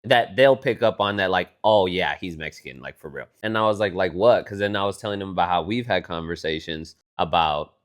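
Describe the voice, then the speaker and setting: A man's voice: sits low, a little fast, few pauses. A young man from Texas co-hosting a podcast, chatting casually and swearing freely as he retells conversations. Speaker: podcast co-host. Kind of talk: casual chat.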